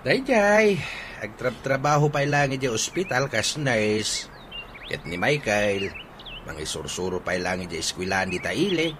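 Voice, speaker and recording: A man's voice: lowish voice, sing-song, unhurried. A man playing an easygoing older father and big brother in an Ilocano radio comedy drama, speaking expressively. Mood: warm, curious. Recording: radio drama with clear background noise.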